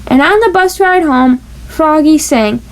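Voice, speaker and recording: A woman's voice: sits high, expressive, measured pace. A woman reading a children's storybook aloud, playfully stressing the sound-effect words. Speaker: storybook reader.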